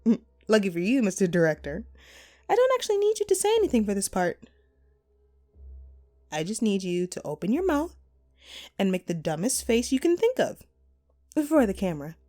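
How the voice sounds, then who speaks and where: A woman's voice: medium pitch, huge pitch swings, normal speed, pauses often, warm tone. A woman playing a vengeful, sadistic jilted actress in a horror audio roleplay, mocking and theatrical, with menacing playfulness. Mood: menacing, toying.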